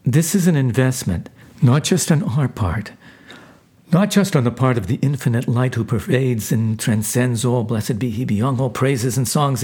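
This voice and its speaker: A man as a calm, caring male angel judge. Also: mid-range voice, creaky, slightly rough. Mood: gentle. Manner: gentle, soft, reassuring.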